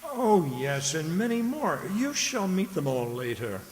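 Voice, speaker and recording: A man playing a courteous, fussy gentleman in a radio drama, polite and formal with a hesitant deference. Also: sits high, sing-song, measured pace. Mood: genial. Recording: reverberant hall with faint hiss.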